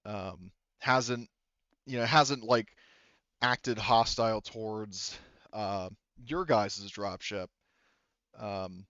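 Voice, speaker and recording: Male tabletop game master, smooth low voice, casual and halting, explanatory; slightly dull headset chat audio. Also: a bit bright, no echo. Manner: halting, self-correcting, explanatory.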